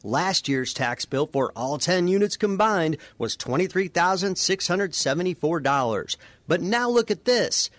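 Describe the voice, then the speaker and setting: A man reporting a local TV news story in a measured, scripted delivery, stressing the figures. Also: sits high, no echo.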